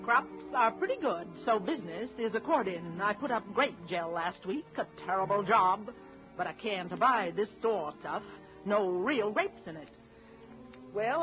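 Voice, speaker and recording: A woman's voice: medium pitch, lightly acted. An actress playing a stern, complaining older mother, brusque and disapproving, in 1930s radio drama style. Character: stern, grudging, complaining older mother, small-town busybody. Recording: dull 1930s radio recording, music behind.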